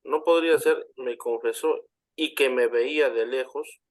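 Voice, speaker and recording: A man's voice: mid-range voice, a little slow. Polite guy in class, unsure, suggesting commas, calls the teacher "doctor". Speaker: student. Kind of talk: classroom discussion.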